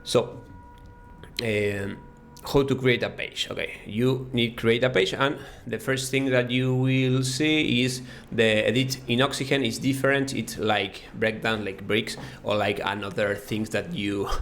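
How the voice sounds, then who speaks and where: Slow, gravelly Argentine-accented man. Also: medium pitch, steady tone, a bit bright, a little hiss or hum. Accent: Argentine Spanish. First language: Spanish. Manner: halting, with frequent fillers and restarts. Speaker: web design course creator. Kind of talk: casual screen-recorded software walkthrough.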